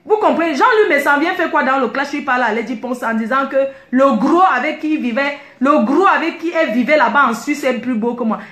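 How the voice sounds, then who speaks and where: Indignant high-voiced woman. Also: fairly quick, hardly any pauses, a bit bright. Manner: indignant, emphatic, repeats herself. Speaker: online video commentator. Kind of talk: heated personal rant to viewers. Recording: echoey room.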